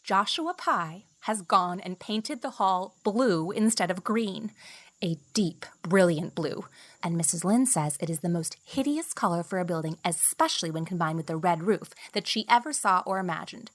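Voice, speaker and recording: A woman's voice: lowish voice, animated, normal speed. A woman playing an indignant young village woman, emphatic and aggrieved, in an audiobook drama.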